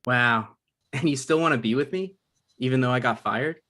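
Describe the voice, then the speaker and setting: A man's voice: mid-range voice, moderate pace, sharp tone, natural voice. A man playing an eager, hapless aspiring TV writer in a comedy table read. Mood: wounded, hopeful.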